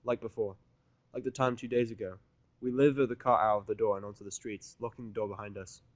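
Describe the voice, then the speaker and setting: A man reading first-person fan fiction as an audiodrama in a sardonic, grumbling, deadpan manner. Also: on the low side, fast talker, no room sound. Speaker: audiodrama reader.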